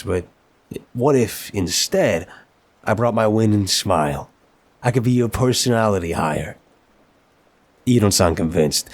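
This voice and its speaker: Man acting a suave, low-voiced flirty mafia boss. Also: close to an everyday voice. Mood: flirtatious, charming. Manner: teasing, smooth-talking, drawn-out emphasis. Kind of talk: intimate ASMR audio roleplay.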